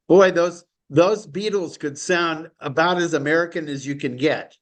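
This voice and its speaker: A man's voice: on the high side, slow pace. A man teaching an online study class, enthusiastic and rambling, with frequent chuckles. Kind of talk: informal lecture on a call.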